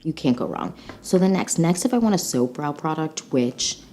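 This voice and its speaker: A woman's voice: low-pitched, lively intonation, normal speed, dark tone, slight background noise. A slightly congested woman with a nasal voice, chatting casually and enthusiastically as a beauty vlog host reviewing products. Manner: gushing, enthusiastic.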